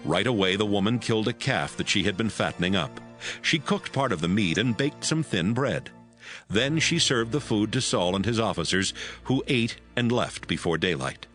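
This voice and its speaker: Low-voiced male Bible narrator over music. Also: expressive, moderate pace, fairly bright tone.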